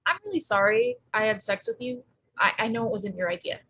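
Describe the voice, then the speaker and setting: A woman playing a wry, quick-witted teenage girl in a table read, with a deadpan, sarcastic delivery. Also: a little high, husky, dry recording, exaggerated voice, low-quality recording. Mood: awkward, wry. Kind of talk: live table read acting.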